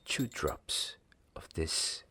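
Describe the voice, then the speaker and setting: Young guy doing hushed asmr apprentice roleplay, really slow n deliberate, up close, bit of background noise. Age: young adult. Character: gentle young wand maker's apprentice. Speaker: ASMR roleplayer playing a wand maker's apprentice. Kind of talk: ASMR roleplay, soft-spoken and half-whispered. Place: small candlelit indoor set.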